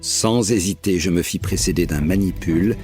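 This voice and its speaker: Guy as a roman prefect telling his story, very low measured voice, soft music under it. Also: lightly acted. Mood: measured recounting. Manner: measured, literary. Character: Roman prefect recounting his investigation, methodical, proud. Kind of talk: scripted first-person drama narration.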